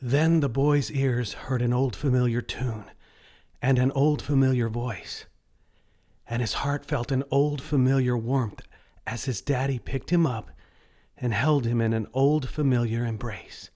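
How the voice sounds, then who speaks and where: Slow-paced man reading a children's story. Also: mid-range voice, steady tone, mellow. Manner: slow, deliberate read-aloud delivery. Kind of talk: scripted children's story narration.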